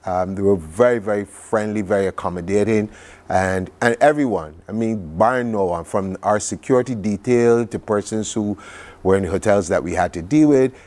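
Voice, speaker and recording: Male interview guest, Barbadian accent, lower register, chatty and reflective with an enthusiastic storytelling manner. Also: normal speed, darkish tone. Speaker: studio guest recounting a trip. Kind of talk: conversational television interview.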